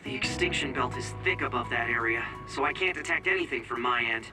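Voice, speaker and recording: An actor playing an earnest young mission support operator in an anime-style video game, focused and informative. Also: male voice, medium pitch, fairly quick, over music, lightly acted.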